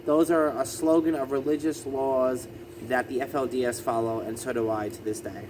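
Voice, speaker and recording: A man's voice: medium pitch, steady tone, normal speed, background noise. A man with a lisp talking through a casual explanatory vlog, hesitant and full of ums and self-corrections. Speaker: vlogger.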